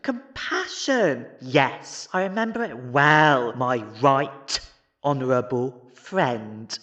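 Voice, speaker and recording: An actor playing a bitter, vengeful politician with pompous, deliberate oratory in an audio drama debate. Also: male voice, medium pitch, animated, fairly bright tone, lo-fi sound, a little hiss or hum. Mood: bitter, scornful.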